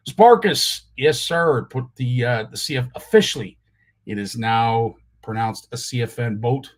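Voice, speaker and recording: Male host, raspy strained voice, middle pitch, friendly roll-call of viewers. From Canada. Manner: greets viewers one by one. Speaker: live show host. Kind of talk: casual livestream chat.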